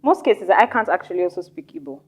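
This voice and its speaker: A young woman from Nigeria hosting a casual podcast chat, animated and chatty, often trailing off and restarting her thoughts. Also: medium pitch, fast talker, soft background music. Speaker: podcast host.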